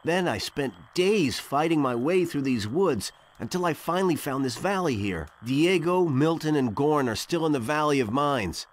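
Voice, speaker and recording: An actor playing a helpful, knowing woodland wanderer in a fantasy video game. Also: male voice, a little high, lively intonation, normal speed, hardly any pauses, a little hiss or hum, close to an everyday voice. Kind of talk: video game dialogue acting.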